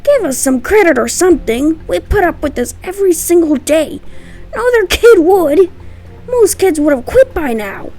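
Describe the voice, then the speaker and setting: Woman voicing a high, whiny, defiant young boy. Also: very animated, normal speed, darkish tone, faint music behind, full character voice. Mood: indignant, whiny. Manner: pleading, indignant, protesting. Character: defiant, whiny ten-year-old boy trainee, talking back. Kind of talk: amateur dubbed comic voice acting.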